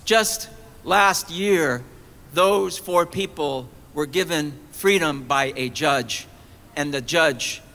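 A man's voice: mid-range voice, gravelly, sharp tone. A middle-aged man giving an emphatic sermon at an outdoor rally, speaking into a podium mic with rhetorical questions. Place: outdoor colonnade of a city hall at a rally. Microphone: podium mic on a stand. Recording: long echo off stone colonnade.

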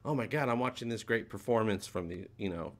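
A man's voice: lowish voice, lively intonation, brisk. A man in his forties hosting a fan podcast, asking long, rambling questions full of fillers over a video call. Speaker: podcast host. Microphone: webcam video call.

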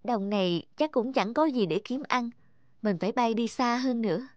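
A woman voicing a weary, anxious and devoted mother stork in an animated fable, tender and worried. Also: on the high side, normal speed, dry recording, muffled recording, close to an everyday voice. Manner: tender, worried, talking to herself. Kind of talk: animated moral fable voice acting. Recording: music behind the voice.

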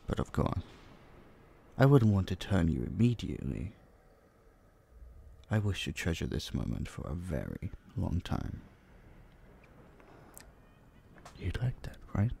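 A man playing an obsessive, possessive vampire captor in an intimate audio drama, hushed, teasing and dominant, menacingly sweet, dipping into whispers. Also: sits low, sing-song, measured pace, creaky, pauses often, a little hiss or hum, heavily acted. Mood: tender, possessive. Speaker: voice actor. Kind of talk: intimate roleplay audio drama, addressing the listener.

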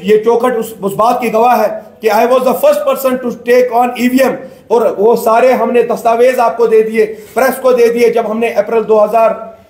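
A man's voice: sits high, a little mellow. A man, a political candidate giving a fervent speech and switching into English phrases. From Kashmir. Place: indoor press room with banners. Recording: echoey room.

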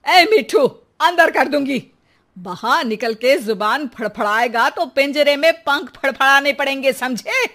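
A woman voicing a scolding, greedy middle-aged housewife in a cartoon, with an emphatic, sharp-tongued delivery. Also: sits high, animated, unhurried, exaggerated voice. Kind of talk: animated cartoon dialogue.

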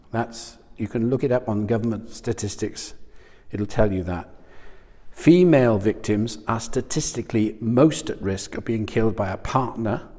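Low-voiced older man preaching. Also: warm tone, long reverb tail. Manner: conversational, asks the listeners questions. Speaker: church speaker. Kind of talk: sermon talk. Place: small church studio room in front of a screen. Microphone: lapel mic.

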